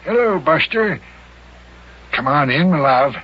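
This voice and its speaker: An actor playing a jovial old small-town mayor in love, with folksy, flustered, flowery talk in an old-time radio comedy. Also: male voice, fairly high pitch, lively intonation, measured pace. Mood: giddy, doting. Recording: muffled old radio show recording.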